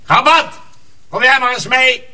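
Harsh, high-pitched mill master. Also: male voice, unhurried, sharp tone, full character voice. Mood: commanding. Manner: barking curt orders. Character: harsh, bossy male mill master, driving his apprentice hard. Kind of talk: radio drama acting. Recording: noisy, distant radio drama recording.

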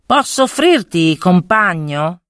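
A woman's voice: on the low side, very animated, takes it slowly, fairly bright tone, no room sound, studio quality, exaggerated voice. A woman voicing a naive eighteen-year-old orphan boy in a fairy tale, wide-eyed and exclamatory. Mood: offering.

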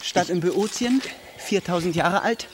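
An actor playing an impudent, bookish construction manager, mock-deferential and declamatory in a radio play. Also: male voice, high-pitched, moderate pace, noisy background, close to an everyday voice. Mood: grandly declamatory. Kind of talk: theatrical radio play acting.

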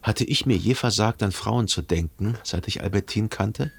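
An actor playing a brooding, jealous lover who narrates his thoughts in a literary radio drama, introspective and deliberate. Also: lowish voice, vocal fry. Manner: introspective, deliberate, rhetorical self-questioning.

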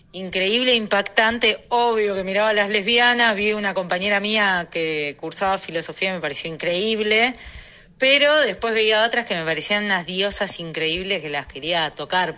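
A woman's voice: lowish voice, sharp tone, clean tone. A woman with a Rioplatense Argentine accent, casually telling a personal story in a voice message. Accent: Rioplatense Argentine Spanish. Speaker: audience member sending a voice message.

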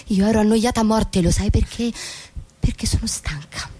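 An actress playing a frustrated, fervently persuasive suburban wife in a radio drama, intense and insistent, leaning on repeated words. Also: female voice, mid-range voice, normal speed, warm tone, lightly acted. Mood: exasperated, bitter. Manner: intense, insistent, emphatic repetitions. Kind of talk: stage-style radio drama dialogue.

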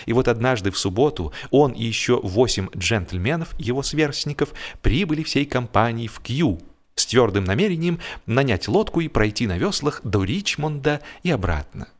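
A man narrating comic literary prose as an audiobook, theatrical and expressive, with deliberate pauses and repetitions. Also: medium pitch. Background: music.

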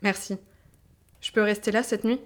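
A woman's voice: mid-range voice, fairly even intonation, moderate pace, lightly acted. Woman acting self-assured young rebel woman. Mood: casual. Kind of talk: audio drama acting.

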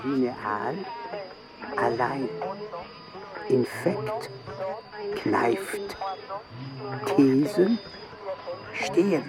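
Man, word-by-word recital, extremely slow, detached, mid-range pitch. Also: big pitch swings, rough recording. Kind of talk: slow recital of isolated words.

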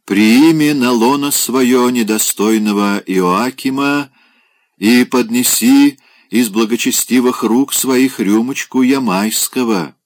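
Drunk sentimental old clerk, pitched high and loud, in a storybook reading, as a male reader puts him on. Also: takes it slowly, dry recording, natural voice. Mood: wheedling. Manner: churchy archaic phrasing, wailing.

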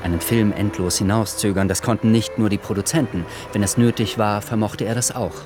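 A man narrates a radio drama in a low voice. It is scripted literary storytelling, set over clearly audible music. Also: steady tone, brisk. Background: music behind the voice.

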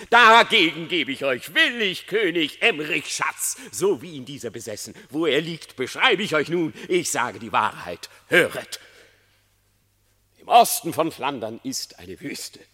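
A wily, silver-tongued fox pleads in radio-play verse. The voice is sharp and forceful, with ingratiating, declamatory delivery. It rings in a reverberant space. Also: male voice, a little high, exaggerated voice. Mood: fawning, urgent. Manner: theatrical, persuasive, declamatory. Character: sly, eloquent, ingratiating male fox on trial. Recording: reverberant studio sound.

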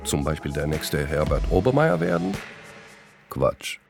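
Deep-voiced, expressive Israeli-born male narrator. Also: a little slow, music in the background. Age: 30s. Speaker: author and narrator. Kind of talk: scripted first-person radio-play narration.

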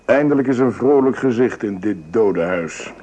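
A man's voice: mid-range voice, animated, normal speed, mellow, slightly rough. A man playing a French army lieutenant in a radio drama, wry and confidential in manner.

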